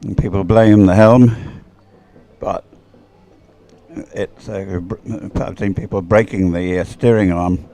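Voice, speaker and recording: A man's voice: sits low, steady tone, slow, long pauses, mellow. A man giving live, off-the-cuff race commentary in a conversational, matter-of-fact way.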